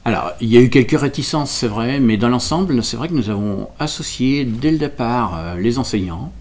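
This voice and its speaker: A man from France giving explanatory interview answers as a local representative, halting and correcting himself as he searches for words. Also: mid-range voice, lively intonation, a little mellow. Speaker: local representative explaining a village school project.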